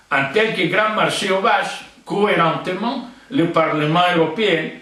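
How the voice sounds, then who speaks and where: A man's voice: high voice, a bit bright. An older man who grew up speaking Italian, with an Italian accent, bluntly and indignantly reading out an open letter. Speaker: video letter writer. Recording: echoey, distant from the mic.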